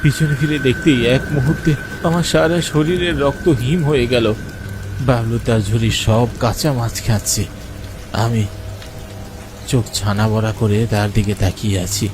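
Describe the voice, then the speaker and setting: A man's voice: mid-range voice, fairly even intonation, measured pace, noisy background. A man narrating a first-person ghost story for a cartoon in a dramatic way. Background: music under the voice.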